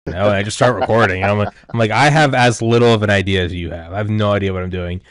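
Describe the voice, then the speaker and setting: Man, podcast host, low voice, casual and self-deprecating, dry close sound. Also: quick pace, dry recording. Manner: loose, self-deprecating, laughs often.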